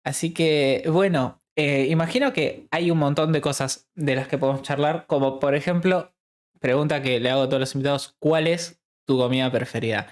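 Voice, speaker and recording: A man's voice: on the high side, moderate pace. A man with a Rioplatense Argentine accent interviewing a guest in a casual, informal, wordy way. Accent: Rioplatense Argentine Spanish. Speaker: stream host interviewing a guest.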